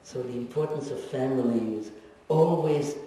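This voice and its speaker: A man in his seventies giving a personal testimony at a lecture, reflective and wry, pausing often and teasing his audience. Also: mid-range voice, warm tone. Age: 70s. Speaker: guest speaker, Holocaust survivor. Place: lecture hall. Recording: echoey hall recorded from far off.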